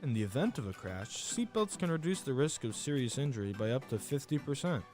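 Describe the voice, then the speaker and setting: Man reading a safety announcement over soft music. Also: mid-range voice, big pitch swings, brisk. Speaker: public service announcer. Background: light music bed.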